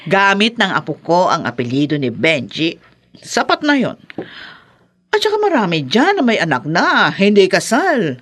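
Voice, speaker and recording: A woman's voice: lowish voice, wildly swooping pitch, normal speed, fairly bright tone, full character voice. An actress playing a possessive, guilt-tripping older mother in a Filipino radio drama, with a pointed, reproachful delivery. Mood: cold, disapproving.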